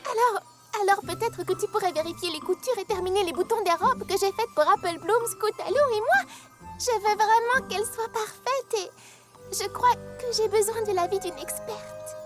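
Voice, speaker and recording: A woman voicing an eager, proud little girl pony in a French cartoon dub, with an earnest, expressive delivery. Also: extremely high pitch, normal speed, over music. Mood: earnest, hopeful.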